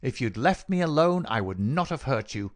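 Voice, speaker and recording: A man voicing a cruel, gloating murderer in an audiobook, sneering and mock-solicitous in a dry rasp. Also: medium pitch, sing-song, normal speed, voice pushed up, close to an everyday voice. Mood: triumphant, venomous. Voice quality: dry, rasping. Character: gloating, cruel murderer taunting his dying victim. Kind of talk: dramatic audiobook character reading.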